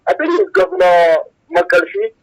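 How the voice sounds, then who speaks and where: A man's voice: very high-pitched, sing-song, normal speed, low-quality recording. A man with a Nigerian accent calling in to a radio show, giving opinions emphatically, repeating himself and searching for words. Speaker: phone-in caller. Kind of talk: opinionated radio call-in talk.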